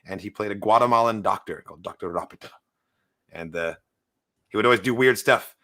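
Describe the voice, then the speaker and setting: A man's voice: lowish voice, brisk, pushed and bright. A man from New Zealand with a New Zealand accent, hosting a casual podcast chat with enthusiasm and frequent laughs. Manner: enthusiastic, laughs often, fillers. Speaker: podcast host.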